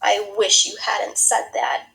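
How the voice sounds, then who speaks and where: A woman's voice: slow, sharp tone, whispered, poor audio. A woman voicing a stubborn, embarrassed little schoolgirl in a children's storybook, plaintive and emphatic. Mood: reluctant.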